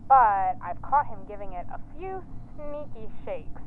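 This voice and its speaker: A woman playing an affectionate, chatty partner phoning on a lunch break in an audio drama, gently teasing, through a telephone filter. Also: fairly high pitch, very animated, a little slow. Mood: teasing, curious. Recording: tinny phone line, faint noise.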